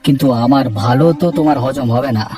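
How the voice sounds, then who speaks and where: A man's voice: medium pitch, steady tone, fast talker, recorded from a distance, natural voice. A male actor plays a self-important village headman from a cartoon fairy tale. He talks in a brusque, scolding way, dismissing others with selfish irritation. Faint music runs behind him. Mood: dismissive, irritated.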